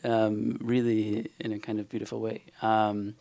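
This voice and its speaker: A man's voice: lowish voice, completely flat delivery, measured pace, gravelly, mellow, no room sound. A young man from Israel whose first language is Hebrew, speaking hesitantly with many fillers and restarts on a panel. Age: young adult. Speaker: panel author. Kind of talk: panel discussion and reading.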